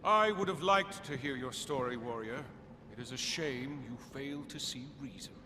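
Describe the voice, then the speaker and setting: An actor playing a haughty, cruel master who guards an oracle in a video game, imperious and scornful in a theatrical way. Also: male voice, mid-range voice, moderate pace, background noise, close to an everyday voice. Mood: sneering, amused. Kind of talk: video game villain acting. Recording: clear music behind the voice.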